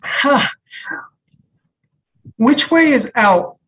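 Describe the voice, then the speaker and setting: A woman's voice: high voice, animated, extremely slow, fairly bright tone, slightly rough, exaggerated voice. A woman playing a mild, orderly male job seeker in a comedy table read, plain and puzzled. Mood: puzzled, earnest. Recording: distant from the mic.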